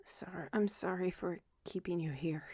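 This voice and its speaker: A woman's voice: fairly low pitch, normal speed, darkish tone, breathy edge, no echo, studio quality. A woman playing a troubled, self-reproaching young writer in an intimate audio drama, speaking in a hesitant, weary way. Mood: drowsy, subdued.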